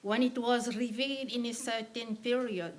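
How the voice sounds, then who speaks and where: A woman's voice: fairly high pitch, steady tone, normal speed. A woman in a seminar audience, making a plain, slightly hesitant point. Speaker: audience member.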